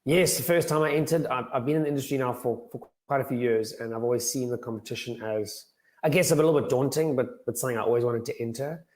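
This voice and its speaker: A young man with a slightly croaky voice, answering interview questions earnestly and often repeating words as he starts sentences. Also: medium pitch, quick pace, darkish tone. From South Africa. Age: young adult. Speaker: guest financial planner.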